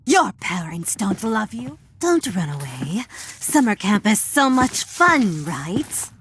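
An actress playing a cruel, fanatical evil nun in a horror video game, scolding and threatening in a theatrical way. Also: female voice, medium pitch, very animated, slow pace, a bit bright, breathy edge, exaggerated voice. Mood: mock sweet menace.

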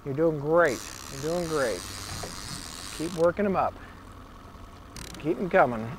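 A man with a fairly high voice gives calm, patient coaching as a fishing guide. He is recorded on an open boat on a river with a lapel mic. Boat and water noise is plainly heard behind him. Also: takes it slowly. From Oregon, United States.

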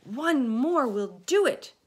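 A woman voicing an excited, encouraging old male grasshopper in a storybook, with hearty shouting. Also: on the low side, drawn-out words, creaky, breathy edge, full character voice.

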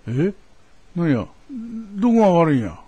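An actor playing an exasperated middle-aged father in a radio drama, gruff and flustered, with a Kansai accent. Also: on the high side, big pitch swings, moderate pace, warm tone, muffled recording. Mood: baffled. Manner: gruff, stammering in disbelief, repeating himself.

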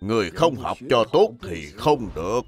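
A man's voice: fairly low pitch, very animated, moderate pace, soft background music. A man voicing a humble elderly Buddhist monk who teaches patiently in plain, simple words for a cartoon. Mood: earnest teaching. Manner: didactic, plain, repeats instructions simply. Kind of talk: dubbed animated religious biography.

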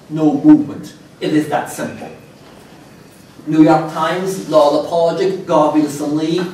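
A man in his thirties lectures from a podium in a large hall, his fairly high voice animated as he reads quotations and drops dry asides. The room rings with heavy reverb, and he sounds a little distant from the lapel mic. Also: a little hiss or hum. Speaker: keynote speaker. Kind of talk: conference keynote lecture.

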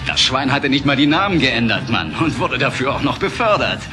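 An actor playing a brash young software engineer, sardonic, colloquial and excitable, in an audio drama. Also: male voice, mid-range voice, brisk, natural voice. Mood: sardonic storytelling. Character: cocky, brash young male software engineer and arcade owner. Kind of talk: film dialogue in an audio drama. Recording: clear music behind the dialogue.

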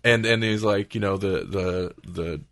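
A man's voice: deep voice, unhurried, gravelly, a bit bright, no room sound. A man hosting a podcast, talking casually in a rambling, conversational way as he retells things. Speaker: podcast host.

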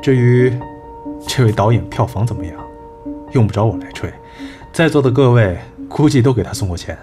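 A man playing a cool, assured agency executive in an audio drama, measured and persuasive. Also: medium pitch, expressive, over music, close to an everyday voice. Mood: confident, persuasive. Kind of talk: Chinese audio drama acting.

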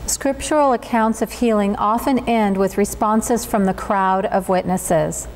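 A woman reads a scripted piece of liturgy at a medium pitch. Her voice carries a long hall reverb, with a little background noise. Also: unhurried, darkish tone.

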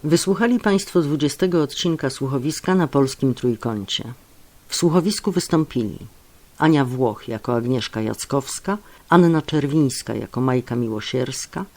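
A man's voice: medium pitch, vocal fry, a little mellow. A man reading scripted closing credits and announcements as a radio announcer.

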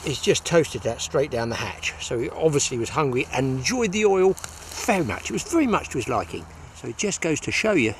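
An older man hosting a fishing show, chatting casually and exclaiming to viewers in an outdoor recording. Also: medium pitch. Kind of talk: casual fishing vlog commentary to camera. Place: outdoors on a grassy riverbank. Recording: outdoor location sound with background noise.

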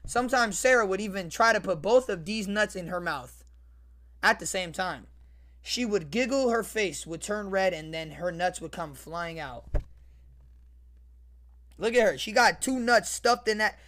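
A young man reading aloud casually on a livestream, swearing, slangy and repeating his phrases in an animated way. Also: sits high, a little slow. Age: young adult. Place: small bedroom, indoors. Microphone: close desk microphone with headset.

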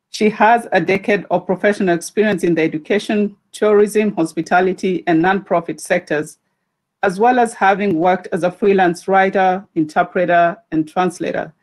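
A woman moderating a video-call conference, introducing the next speaker in an informal way with pauses. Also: medium pitch, a little mellow.

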